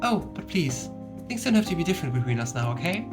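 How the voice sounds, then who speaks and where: A woman's voice: low voice, big pitch swings, quick pace. A woman voicing a shy, earnest teenage girl from a video game, speaking politely and hesitantly. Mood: hopeful, polite. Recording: music playing behind the voice.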